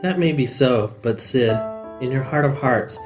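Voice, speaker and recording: A man acts out a legendary wise man in a fantasy audio drama. The sage is gentle and melancholy, speaking solemnly at a middling pitch. Music plays clearly behind the words. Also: animated, measured pace, close to an everyday voice. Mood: compassionate, knowing.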